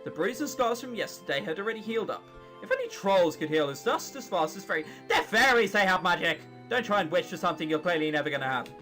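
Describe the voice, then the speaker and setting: A man's voice: sits high, big pitch swings, quick pace, bright, forceful tone. A British man in his late teens giving casual, sarcastic, sweary commentary while reading a visual novel aloud. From England or Britain. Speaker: gaming video host. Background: music.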